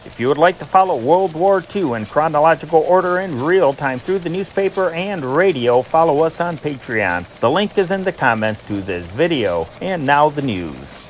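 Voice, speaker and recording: A man reads news dispatches from a script in a formal, old-fashioned wire-service manner. His voice sits a little higher than most men's. A light layer of steady noise runs behind him. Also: moderate pace, few pauses. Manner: formal, announces each dateline city before the item. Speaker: news reader, channel narrator.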